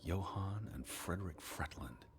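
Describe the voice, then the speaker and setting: Middle-aged American man as a skeptical, tired naturalist in a period game; very deep, dry delivery over faint noise. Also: animated, unhurried. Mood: somber musing. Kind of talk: video game voice acting.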